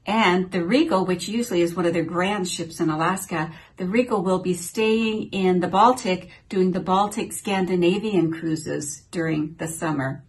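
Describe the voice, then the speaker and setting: A woman's voice: lowish voice, normal speed, hardly any pauses, rough recording. Upbeat older woman giving cruise news. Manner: upbeat, newsy delivery reading from notes. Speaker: travel vlogger. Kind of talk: scripted cruise news update. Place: small home office, indoors.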